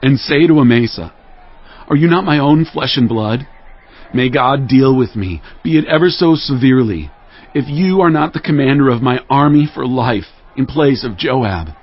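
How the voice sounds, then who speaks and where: Husky, commanding ancient king. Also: mid-range voice, animated, normal speed, highs cut off. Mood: earnest, solemn. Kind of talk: dramatized audio Bible acting.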